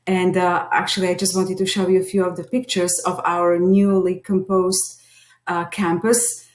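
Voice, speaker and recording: Slovak accent lady introducing herself to class, lowish voice, lots of uhs, roomy echo. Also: level tone, moderate pace. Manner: earnest, many hesitations. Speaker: teacher lead and behavior analyst. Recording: echoey room.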